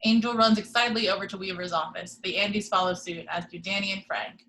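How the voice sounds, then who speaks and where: A woman reads stage directions at a table read in a mid-pitched voice. Her delivery is even and measured. She is heard over a video call. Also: rough recording. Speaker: narrator reading stage directions. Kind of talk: reading action description.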